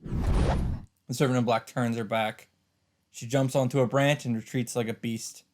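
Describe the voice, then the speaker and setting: A man giving casual let's play commentary and reading visual novel narration aloud in a halting, rambling way. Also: mid-range voice, steady tone, darkish tone.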